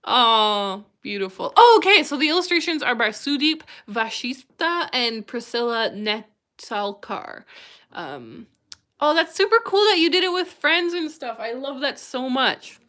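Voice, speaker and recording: Young woman streamer, gushy and enthusiastic, bright edge, a little high. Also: very animated, a little slow. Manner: effusive and enthusiastic, gushing over what she reads. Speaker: livestream host. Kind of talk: live-streamed chat reading viewer submissions.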